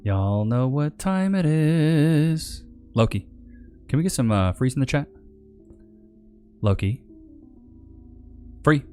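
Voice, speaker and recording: A man's voice: fairly high pitch, animated, takes it slowly, long pauses, dark tone, clean tone, faint music behind. A man hosting a reaction video, talking casually and emphatically in slang. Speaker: online video host reacting to clips. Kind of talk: casual streamer commentary.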